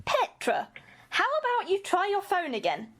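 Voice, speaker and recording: Woman acting a bossy, very high-voiced leader. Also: huge pitch swings, normal speed, a bit bright, full character voice. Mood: commanding. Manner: stern, cutting. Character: bossy, exasperated woman taking charge. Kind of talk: amateur radio play acting.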